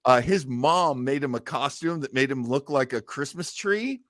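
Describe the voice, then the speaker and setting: A man's voice: medium pitch, animated, moderate pace, a bit bright, no echo. A man hosting a casual chat, talking in a jokey, theatrical and effusive way. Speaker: host.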